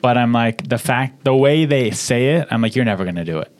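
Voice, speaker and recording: A man's voice: mid-range voice, lively intonation, a little fast. A man hosting a casual podcast chat, teasing and playful as he questions his guest. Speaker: comedian podcast host.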